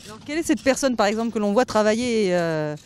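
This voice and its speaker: A woman's voice: on the high side, animated, fast talker, darkish tone, slight background noise. A woman reporter interviewing people outdoors in a forest, chatty and hesitant with frequent fillers. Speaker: radio reporter.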